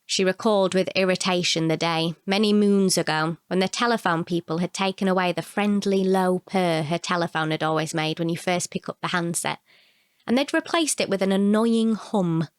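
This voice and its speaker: A woman's voice: fairly low pitch, moderate pace, clear, resonant tone, dry recording, studio quality. A woman narrating a scripted short story.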